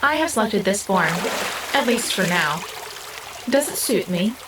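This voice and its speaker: A woman's voice: medium pitch, lively intonation, measured pace, close to an everyday voice. A woman in an audio drama playing a curious, naive part-human being with formal, literal speech. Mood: curious, matter-of-fact. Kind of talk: scripted audio drama acting. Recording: rain-like background noise.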